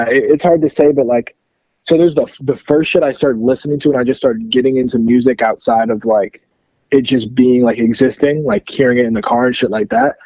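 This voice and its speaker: A man's voice: mid-range voice, a little mellow, dry recording, dull audio. A young man in his early twenties from Detroit, a rapper answering interview questions in an easygoing way, hesitating often with fillers and slang. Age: 20s. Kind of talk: casual phone interview chat.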